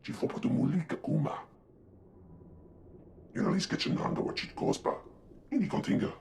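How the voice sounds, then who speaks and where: An actor playing an excitable, gravelly male alien creature babbling in an invented tongue for a video game. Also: a little high, fairly quick, very noisy. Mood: agitated.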